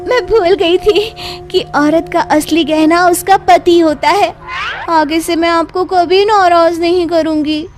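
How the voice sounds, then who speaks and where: High-pitched coaxing young wife voiced by a woman. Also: fairly even intonation, slow. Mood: apologetic. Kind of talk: Hindi moral-story cartoon dialogue.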